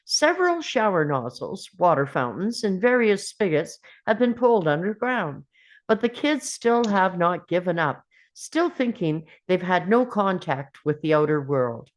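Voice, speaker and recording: A woman's voice: lowish voice, sing-song, unhurried. A woman narrating a screenplay's scene directions at a table read.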